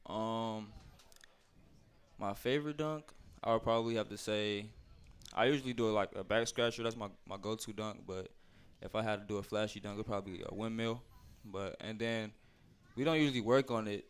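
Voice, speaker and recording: A young man from Minnesota, a college basketball player, answering interview questions in a laid-back, soft-spoken way. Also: fairly low pitch, fairly even intonation, moderate pace, clear, resonant tone. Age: young adult.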